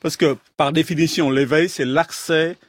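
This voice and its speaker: Thoughtful, halting man from Vietnam. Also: a little high, fairly even intonation, no room sound, clean studio sound. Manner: measured, thoughtful, halting with many fillers. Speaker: guest, astrophysicist. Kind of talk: radio interview answers.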